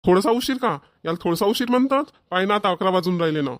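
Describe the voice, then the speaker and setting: An impatient village farm boss in a cartoon comedy, played by a man, scolding and urgent. The voice is raised high and loud for a man, with a Varhadi Marathi accent. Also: lively intonation, fast talker.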